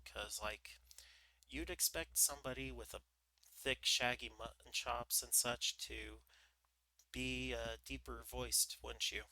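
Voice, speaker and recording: A man's voice: bright, forceful tone, dry recording, low-quality recording. A man who streams book readings, chatting casually in a rambling, self-deprecating way full of fillers. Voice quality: naturally higher voice than he looks. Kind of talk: casual chat during an ad break.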